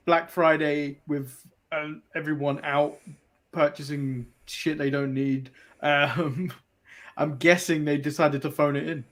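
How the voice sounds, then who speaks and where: High-voiced English man, rambling wrestling chat. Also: a bit bright. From England. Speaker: wrestling podcast co-host. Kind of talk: casual sports chat.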